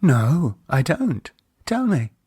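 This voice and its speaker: A man's voice: a little high, big pitch swings, slow pace, a little mellow, breathy edge, no echo, clean studio sound, voice pushed up, heavily acted. A man voicing a composed, coolly ironic woman. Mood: cool, measured. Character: composed, teasing adult woman with a sharp edge. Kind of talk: audiobook character dialogue.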